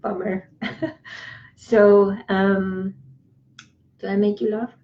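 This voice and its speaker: A woman's voice: mid-range voice, level tone, drawn-out words, highs cut off. A woman from Estonia with an Estonian accent, co-hosting a casual chat and laughing often. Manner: chatty, laughs often, reads definitions aloud. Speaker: podcast co-host.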